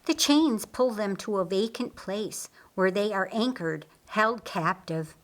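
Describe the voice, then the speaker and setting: A woman's voice: mid-range voice, measured pace, natural voice. A woman voicing an authoritative, loyal male archangel in an audiobook, explaining firmly and earnestly. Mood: grave, explanatory. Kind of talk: audiobook character acting.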